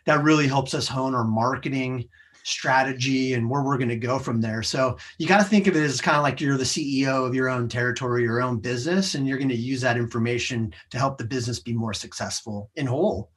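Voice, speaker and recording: Man, American accent, mid-pitched voice, podcast guest, conversational, explanatory. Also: brisk, a bit bright. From United States. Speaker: podcast guest, sales professional. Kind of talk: conversational interview, explaining career lessons.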